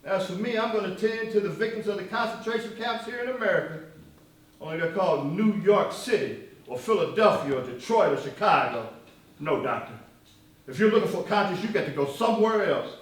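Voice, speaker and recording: An actor playing a defiant, militant freedom leader on stage, speaking in emphatic, accusatory oratory with deliberate pauses. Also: male voice, high voice, expressive. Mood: indignant, scornful. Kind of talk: live stage play acting. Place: stage in a reverberant room, recorded from afar. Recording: echoey hall, distant microphone.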